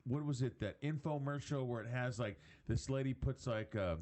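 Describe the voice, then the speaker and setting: A man hosting a live radio talk show, chatting casually in a halting, jokey way with many restarts. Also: medium pitch, darkish tone. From Mexico.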